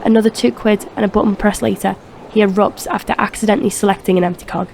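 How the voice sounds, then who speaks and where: A woman's voice: medium pitch, fairly even intonation, quick pace, creaky, darkish tone, slight background noise. A woman reading scripted narration for a crime audio drama.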